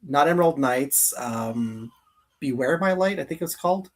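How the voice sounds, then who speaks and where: A man's voice: mid-range voice, expressive, normal speed. A man hosting a casual geek countdown stream, talking in an informal, emphatic, opinionated way. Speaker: host of a geek countdown livestream.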